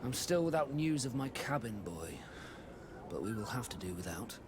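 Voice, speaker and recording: A man plays a proud, guarded ship's captain in a video game cutscene. His formal, dryly sardonic lines swing animatedly in pitch, with music playing clearly behind him. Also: very animated, moderate pace, close to an everyday voice. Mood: measured, formal. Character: gruff proud male sea captain, wry and guarded. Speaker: ship captain.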